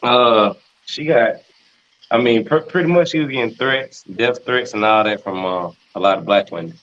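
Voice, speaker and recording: A man's voice: lowish voice, normal speed, a bit bright. An American man hosting a casual panel discussion and putting questions to the others. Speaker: host posing questions. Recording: slightly dull, lo-fi sound.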